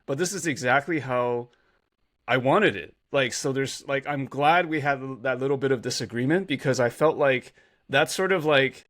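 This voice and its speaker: A man's voice: medium pitch, normal speed, fairly bright tone. A man hosting an interview show, talking loosely and emphatically and swearing casually. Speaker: podcast host.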